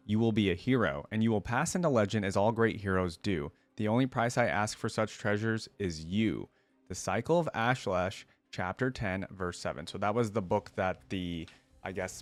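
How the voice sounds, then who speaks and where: A man's voice: fairly low pitch, dry recording. A man hosting a reaction video, reading a story aloud and adding casual, musing commentary as he thinks aloud.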